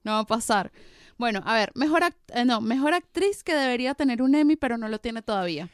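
A woman with a Venezuelan Spanish accent, co-hosting a casual chat about TV series with an animated, laughing delivery. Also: a little high, a little fast, smooth, dry recording, clean studio sound. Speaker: podcast co-host.

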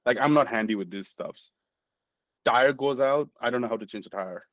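A man's voice: medium pitch, moderate pace, fairly bright tone, no room sound. A man in his forties from Nepal, a physician telling his story in a casual interview, earnest and self-deprecating. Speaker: guest physician.

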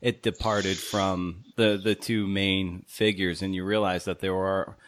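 A man's voice: sits low, flat delivery, unhurried, fairly bright tone, no echo. A man hosting a podcast interview in a conversational style, with meandering, hesitant phrasing.

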